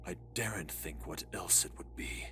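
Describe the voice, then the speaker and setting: A man playing a devout, fearful young count in a visual novel drama, halting and pleading. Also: low voice, pushed and bright, a little hiss or hum. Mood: anxious resolve.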